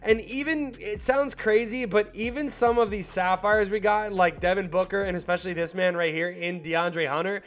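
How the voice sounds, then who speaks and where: A man's voice: high voice, fairly even intonation, normal speed, hardly any pauses, a bit bright. A young man with a slightly stuffed-up voice giving casual, slangy video game commentary. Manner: hyped, slangy and rambling, with filler words. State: still a little stuffed up from a cold. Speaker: gaming YouTuber.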